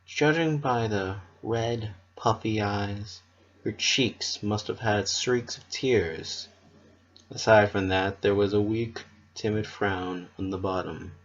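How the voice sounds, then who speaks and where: A narrator giving an expressive, measured dramatic reading of a scripted story. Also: deep voice, muffled recording.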